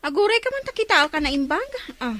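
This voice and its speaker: Animated nosy gossiping woman. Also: high voice, a little fast, no room sound. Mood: suspicious, prying. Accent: Ilocano. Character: nosy, suspicious gossiping woman, an older-sister neighbour. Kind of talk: Ilocano radio drama acting.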